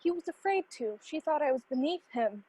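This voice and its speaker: High-voiced, forlorn young servant girl, acted by a woman. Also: animated, a little fast, dark tone, no room sound, poor audio. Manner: plaintive, earnest. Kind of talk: radio play acting.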